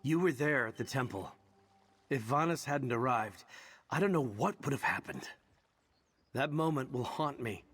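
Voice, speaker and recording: An actor playing a troubled, self-sacrificing adventurer in a fantasy video game, wry and rueful. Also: male voice, mid-range voice, lively intonation, normal speed, long pauses, fairly bright tone, slightly rough, no room sound. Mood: rueful, resolved.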